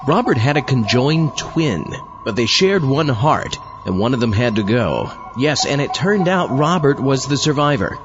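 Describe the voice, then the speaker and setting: A man's voice: mid-range voice, big pitch swings, normal speed, highs cut off. A man hosting a podcast in a playful, theatrical, mock-pompous way, delivering comic introductions to horror stories. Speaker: podcast host. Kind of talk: scripted comic horror-anthology introduction, talking with himself as a double. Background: prominent music.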